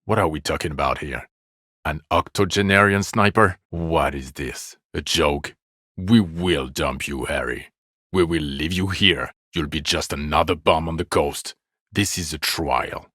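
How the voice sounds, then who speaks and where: Very deep-voiced, exasperated police detective. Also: male voice, a little slow, a bit bright, no echo, clean studio sound, exaggerated voice. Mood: scornful. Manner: sarcastic, scornful, profane. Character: exasperated, bitter, sardonic police detective berating his drunk partner. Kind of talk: video game voice acting.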